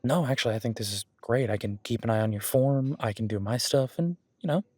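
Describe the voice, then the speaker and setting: A man's voice: mid-range voice, a little fast, darkish tone, slightly rough, dry recording, close to an everyday voice. A man playing a friendly, easygoing werewolf boyfriend who coaches his date at the gym in a casual, encouraging, rambling roleplay audio. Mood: warm, upbeat. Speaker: gym partner giving workout guidance. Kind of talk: roleplay audio drama, one-sided casual conversation.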